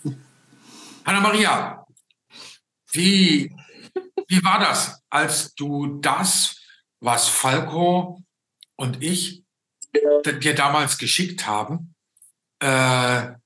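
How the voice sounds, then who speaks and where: Slow, bright older man, roomy sound. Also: a little high, animated. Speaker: host. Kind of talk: casual video-call interview. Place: room indoors, on a video call. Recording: roomy, echoing video-call sound.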